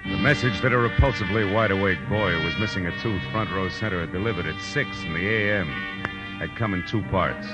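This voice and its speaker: An actor playing a laconic, wisecracking private detective with an American accent, in the style of 1940s radio drama. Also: male voice, deep voice, fairly quick, lightly acted. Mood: wry narrating. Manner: dry, wisecracking, clipped. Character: wry, laconic hardboiled male private detective narrating his case. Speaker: lead actor and narrator. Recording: old radio recording with music underneath.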